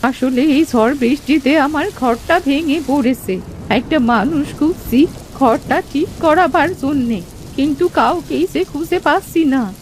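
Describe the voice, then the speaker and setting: A woman's voice: high-pitched, measured pace, a little hiss or hum. A woman voicing an old village grandmother in a cartoon story, asking for help. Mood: pleading, kindly.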